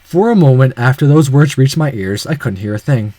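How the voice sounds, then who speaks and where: A man's voice: mid-range voice, expressive, brisk, mellow, no room sound. A man reading a visual novel aloud in dramatic first-person narration.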